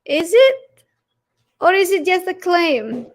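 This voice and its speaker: A woman with an Indonesian accent presenting a religious lecture, speaking haltingly and emphatically in challenging rhetorical questions. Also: extremely high pitch, sing-song. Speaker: presenter of a religious teaching series.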